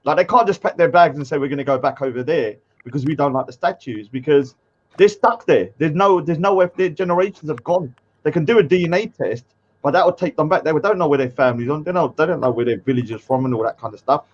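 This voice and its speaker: A man's voice: on the high side, fast talker, lo-fi sound. A man hosting and moderating a heated debate, jokey and trying to keep order. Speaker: show host and moderator.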